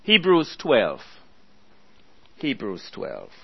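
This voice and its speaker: A man preaching a sermon, earnestly exhorting his listeners and reading scripture aloud slowly. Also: mid-range voice, wildly swooping pitch, vocal fry, husky, dull audio.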